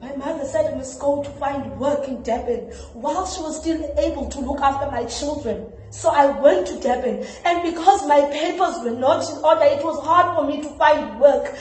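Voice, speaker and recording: A woman's voice: high voice, moderate pace, hardly any pauses, darkish tone, natural voice. An actress playing an older South African mother, weary and defensive, delivering an emotive stage monologue. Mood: indignant. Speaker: trainee actor. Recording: very echoey room, distant mic, background noise.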